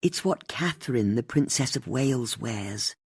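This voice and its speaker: A narrator voicing a brisk, confident, determined older woman in an audiobook mystery. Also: mid-range voice, lively intonation, breathy edge, dry recording, clean studio sound. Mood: matter-of-fact. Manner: brisk, decisive, inquisitive.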